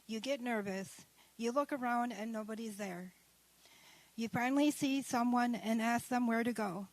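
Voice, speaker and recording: Woman, higher pitch, dry close sound, reads her own essay at a podium, careful with small restarts. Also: moderate pace, dry recording, poor audio. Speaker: graduating adult student. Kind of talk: reading her own essay aloud at a ceremony. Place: ceremony hall.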